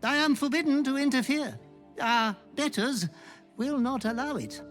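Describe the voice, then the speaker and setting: An actor voicing a fawning, gleefully depraved fiendish butler creature in a video game, theatrical and florid. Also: male voice, very high voice, moderate pace, fairly bright tone, faint music behind. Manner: theatrical, obsequious, florid wording. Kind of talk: video game character acting.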